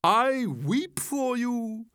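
A pompous storybook walrus played by a man rolls out each phrase very slowly and grandly. The voice swoops up and down, wheedling and full of sly, false sympathy. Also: a little high, very animated. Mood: mock sorrow. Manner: slow, drawn-out, grandly theatrical delivery. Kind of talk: storybook verse character voice.

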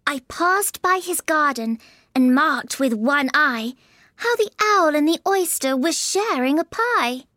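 A man reading a storybook aloud, voicing a polite, puzzled young girl in a very high, eager voice. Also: lively intonation, takes it slowly, pushed and bright, no echo, studio quality, heavily acted. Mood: reciting.

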